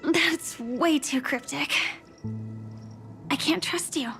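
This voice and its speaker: A wary young heroine from an anime game, serious and skeptical. Her voice sits quite high, with dry, measured questioning. Music plays clearly behind her. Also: heavily acted. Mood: questioning, suspicious. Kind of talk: anime-style game voice acting. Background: game soundtrack music.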